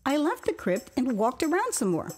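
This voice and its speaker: An older American woman narrates her own story in an expressive, theatrical first-person style with amused asides. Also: high voice, normal speed, soft background music. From Houston, Texas, United States. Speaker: author reading her own memoir.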